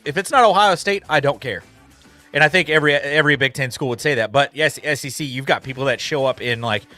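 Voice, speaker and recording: A man's voice: mid-range voice, pushed and bright. A man co-hosting a casual sports chat, talking emphatically and filling his speech with lots of "like"s. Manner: emphatic, filler-heavy with many "like"s. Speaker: co-host.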